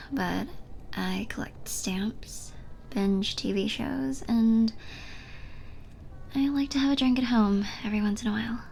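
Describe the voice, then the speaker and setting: A woman playing a flirty, teasing bartender in a soft, breathy ASMR roleplay, speaking close to the listener and often dropping to a near-whisper. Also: on the high side, a little hiss or hum. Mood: relaxed, chatty. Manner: playful, giggly, unhurried flirting.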